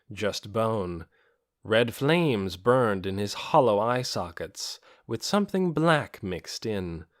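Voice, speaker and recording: A man's voice: mid-range voice, no room sound, clean studio sound. A man narrating a fantasy audiobook in a scripted, storytelling style.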